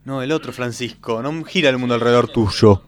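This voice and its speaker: A man's voice: medium pitch, fairly quick. An Argentine man chatting casually during a recording session, informal and teasing. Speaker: comedy group member.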